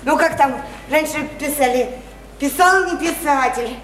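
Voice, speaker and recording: A high, childlike voice of a naive, soulful young provincial woman in a radio drama. She speaks haltingly and repeats herself with earnest feeling. The recording is echoey, with clear background noise. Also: a bit bright. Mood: coaxing. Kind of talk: stage-style radio drama acting.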